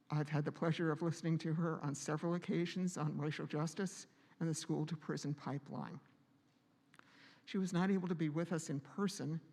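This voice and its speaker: Brief: male meeting host, voice strained from a vocal cord node, flat and gravelly, halting with fillers, long hall reverb. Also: fairly high pitch. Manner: halting, with many pauses and fillers. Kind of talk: hosting a church meeting.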